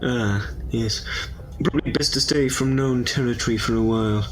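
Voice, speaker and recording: A man playing a confident, eccentric time-traveling adventurer in a sci-fi audio drama, brisk and whimsical. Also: medium pitch, slight background noise, lightly acted, low-quality recording. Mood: calm, whimsical.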